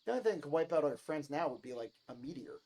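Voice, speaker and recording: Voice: mid-range voice, lively intonation, very fast, mellow, slight background noise, natural voice. A performer voicing a casual, teasing, kind-hearted teenage girl in a visual novel reading. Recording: music behind the voice.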